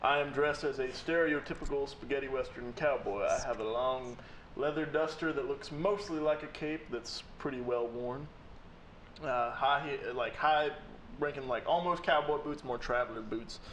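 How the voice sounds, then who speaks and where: A man's voice: medium pitch, normal speed, fairly bright tone, echoey room, slight background noise, poor audio. A man playing a tabletop game, teasing and dry as he speaks in character and describes his hero. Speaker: player.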